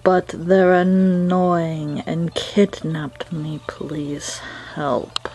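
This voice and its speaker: A woman voicing a gloomy, soft-spoken character for an amateur comic dub, murmuring in a slow, melancholy way. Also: lowish voice, flat delivery, vocal fry, soft background music, pitched below the performer's own voice, exaggerated voice. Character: gloomy, soft-spoken top-hatted character sighing "alas".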